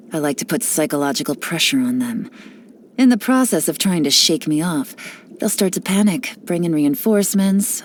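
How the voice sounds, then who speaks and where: Yelan, a sly, unhurried spy. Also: female voice, on the low side, a little hiss or hum. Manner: teasing, relaxed, lightly sly. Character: cool, teasing female spy, sly and unhurried. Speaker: game character voice lines. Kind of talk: studio game voice-over.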